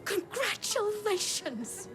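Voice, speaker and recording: A starstruck diner customer from a comic video game. She is very high-pitched and slow, drawing out her fawning admiration. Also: very animated, fairly bright tone, soft background music, heavily acted. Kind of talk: exaggerated comic game dialogue.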